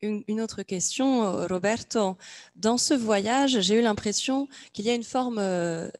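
A woman interpreting aloud in a measured way, pausing between phrases as she renders them. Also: medium pitch, a little mellow, dry recording. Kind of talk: consecutive interpreting, unscripted.

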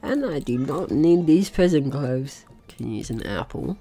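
A man's voice: medium pitch, big pitch swings, unhurried, gravelly, mellow, breathy edge, faint music behind. A man doing casual let's play commentary, playfully thinking aloud and exclaiming as he goes. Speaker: gaming video host.

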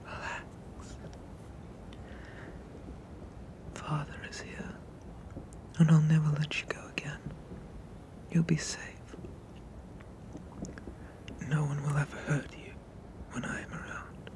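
A man voicing a protective, possessive sea monster father in a hushed, soothing ASMR roleplay with formal phrasing. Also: a little high, little pitch movement, normal speed, pauses often, close to an everyday voice, rough recording. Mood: tender.

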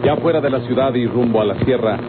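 A man's voice: mid-range voice, fast talker. A man with a Mexican accent giving dramatic scripted narration for an old radio serial. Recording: tinny old radio recording, loud music.

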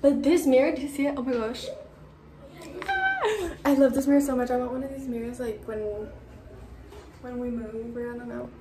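Casting: American teen girl, high voice, bubbly slangy travel vlogger, recorded on a phone with clear background noise. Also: level tone, moderate pace, pauses often. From California, United States. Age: teens. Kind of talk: casual chatty vlog. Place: car, outdoor stops and hotel room. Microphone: phone at arm's length. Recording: noisy handheld phone, road and street ambience.

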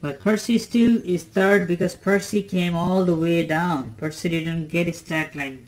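Slow, halting adult toy channel host. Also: medium pitch, steady tone, poor audio. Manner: halting, with fillers and restarts. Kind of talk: casual commentary on toy play.

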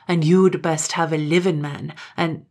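A woman reading a story aloud, voicing a murderous, possessive Highland man who talks in a forceful, menacing dialect. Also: steady tone, measured pace. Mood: gloating.

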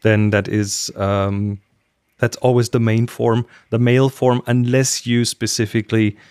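A man's voice: mid-range voice, flat delivery, unhurried, darkish tone, clear, resonant tone, dry recording. A middle-aged man from Germany, a native German speaker, co-hosting a casual podcast discussion on a close microphone. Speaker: podcast co-host. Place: small room at home, indoors, video call. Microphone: close podcast microphone with headset.

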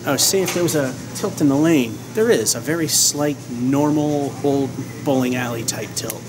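Male vlog host, forties, wry and clipped casual sports commentary, mid-pitched, recorded in a very noisy bowling alley. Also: moderate pace. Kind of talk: casual play-by-play commentary of own game. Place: large indoor bowling alley. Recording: loud hum and room noise.

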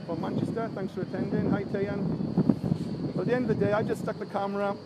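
A man's voice: sits high, level tone, fast talker. A middle-aged man with a strong Welsh Valleys accent, chatting on a live stream and greeting viewers by name. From Wales. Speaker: internet marketing coach. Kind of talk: casual live stream chat. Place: outdoors by a bay. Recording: loud outdoor wind and traffic.

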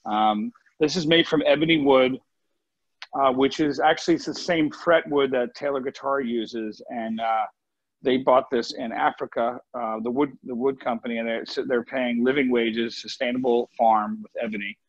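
A man giving a casual cooking demo as a chef over a video call, talking informally and haltingly as he thinks aloud. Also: medium pitch, steady tone, no room sound. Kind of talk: casual live cooking demo, question and answer. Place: home kitchen on a live video call. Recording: muffled dry online video-call sound.

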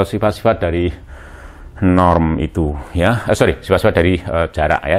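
A man in his sixties with an Indonesian accent, lecturing at a chalkboard in a halting way, with many hesitations and check words. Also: low voice. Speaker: university physics lecturer. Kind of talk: chalkboard lecture, explaining as he writes. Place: indoor room in front of chalkboards.

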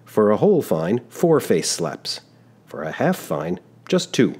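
A man reading a storybook aloud, voicing a smiling male town constable who speaks with great courtesy and gentle insistence. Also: medium pitch, sing-song, measured pace, gravelly, slightly rough, pitched above the performer's own voice, exaggerated voice. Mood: courteous.

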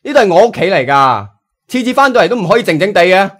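Man acting a fast, irritable young boyfriend. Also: sits high, sing-song, fairly bright tone, full character voice. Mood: irritated, angry. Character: irritable young gangland boyfriend, cold and impatient. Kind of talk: audio drama acting.

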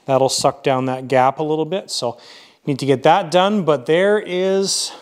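A man's voice: fairly high pitch, unhurried. Casual man narrating a model build. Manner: relaxed, thinking aloud with frequent fillers. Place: workshop.

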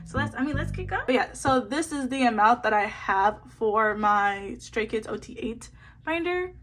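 A woman's voice: on the high side, a bit bright. A woman chatting casually as she sorts photocards into a binder, bubbly and slangy with lots of little asides. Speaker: photocard collecting vlogger. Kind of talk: casual chat while sorting a binder. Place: indoors at a table, hands seen filming a binder.

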